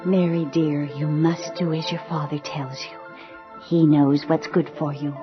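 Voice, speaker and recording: A woman's voice: sits low, level tone, unhurried, music in the background. A woman playing a submissive, pious mother in a radio drama. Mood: gently admonishing. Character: submissive, pious mother deferring to her husband. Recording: dull archival radio recording.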